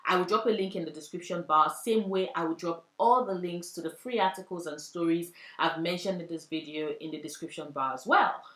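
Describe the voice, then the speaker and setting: A woman's voice: on the low side, fairly quick, hardly any pauses, fairly bright tone, reverberant, rough recording. A young adult woman talking earnestly about books she loves, often repeating phrases for emphasis. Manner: earnest, heartfelt, with poetic repetition. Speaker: book vlogger. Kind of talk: book recommendation vlog.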